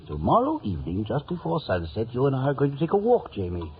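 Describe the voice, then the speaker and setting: An actor playing a pompous, bookish, condescending gentleman who blusters and stammers when flustered, in 1950s radio drama style. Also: medium pitch, wildly swooping pitch, fast talker, a little hiss or hum. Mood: dismissive. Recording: old radio broadcast, narrow band, music behind.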